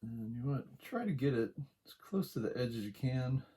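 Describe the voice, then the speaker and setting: A quiet-voiced man giving a casual, unhurried hands-on tutorial, talking along as he works, with a gravelly voice. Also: medium pitch, lively intonation, a little hiss or hum. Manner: unhurried, talks along while working. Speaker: craft tutorial host.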